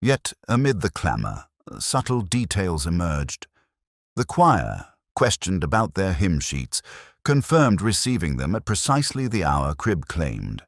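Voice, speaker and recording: A very deep male voice reads a mystery story. The intonation moves expressively while the delivery stays measured and dryly understated. The sound is close and dry. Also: huge pitch swings, dry recording. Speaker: audiobook narrator. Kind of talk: scripted storytelling narration of a mystery.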